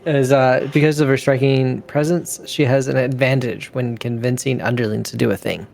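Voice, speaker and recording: A man's voice: mid-range voice, steady tone, normal speed, vocal fry, darkish tone. A man chatting casually as a player in a tabletop game, describing what his character does.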